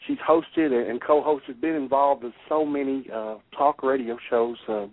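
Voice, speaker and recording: Man, Kentucky accent, middle-aged, radio host, halting folksy talk, raspy voice, lo-fi phone sound. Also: medium pitch, animated, no room sound. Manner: folksy, halting, laughs often. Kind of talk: casual radio interview. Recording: tinny phone-line radio audio.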